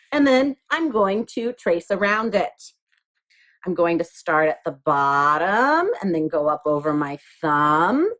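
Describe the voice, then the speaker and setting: Female primary teacher, children's craft demo: unhurried, expressive pitch, patient and simple step-by-step wording.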